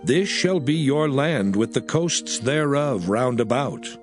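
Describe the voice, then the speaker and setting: A man plays a solemn leader of ancient times proclaiming law in a dramatized scripture reading. His mid-pitched voice rises and falls widely in declamatory phrases, over clearly heard music. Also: lively intonation, slow.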